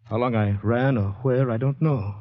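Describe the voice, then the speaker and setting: An actor playing a distraught, haunted man in a 1940s radio drama, telling his story in breathless, halting dramatic phrases. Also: mid-range voice, lightly acted. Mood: shaken. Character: distraught haunted man recounting finding a murdered woman. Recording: muffled old radio broadcast recording.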